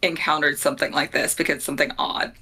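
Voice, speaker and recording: Bright-voiced young woman, slightly low pitch. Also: flat delivery, quick pace, breathy edge. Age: young adult. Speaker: player in a tabletop game. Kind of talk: casual game chat. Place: small home room, video call. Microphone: video call from a desk.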